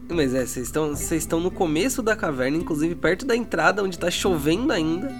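A man's voice: a little high, lively intonation, fairly quick. A Brazilian man narrating a tabletop roleplay as game master, with informal, slangy speech. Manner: colloquial, slangy. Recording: music behind the voice.

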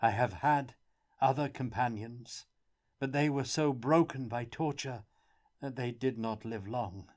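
A man voicing a miserable, guilt-ridden prisoner with a hoarse, tearful, pleading voice. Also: mid-range voice, unhurried, darkish tone, pitched above the performer's own voice, natural voice. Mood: mournful.

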